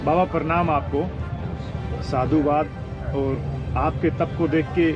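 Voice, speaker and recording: Male field interviewer, slightly high voice, polite and deferential, conversational delivery over clear background music. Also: fairly even intonation, takes it slowly, muffled recording. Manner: deferential, respectful. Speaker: interviewer, reporter. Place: outdoors at a crowded festival ground.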